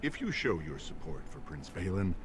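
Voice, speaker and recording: A man's voice: low-pitched, very animated, normal speed, background noise. A man playing a guarded, earnest aide to a dwarven prince in a fantasy video game, speaking formally and conspiratorially. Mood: aggrieved. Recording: game audio with background ambience.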